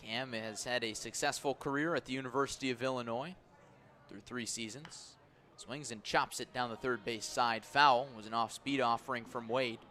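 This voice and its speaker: A man calling baseball play-by-play on the radio in measured, descriptive, clipped phrases. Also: medium pitch, fairly even intonation, pushed and bright. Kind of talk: radio sports commentary.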